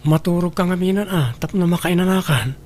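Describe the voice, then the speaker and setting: A man's voice: high voice, brisk, darkish tone, slight background noise, lightly acted. A man playing a caring, trusting husband in an Ilocano radio drama.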